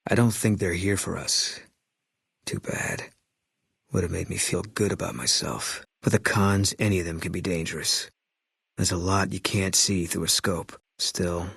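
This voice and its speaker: An actor playing a grim, haunted former soldier and sniper in a video game, speaking in terse, clipped, guarded lines. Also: male voice, low voice, gravelly, a bit bright, husky, no echo, full character voice. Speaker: companion character. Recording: dry studio sound.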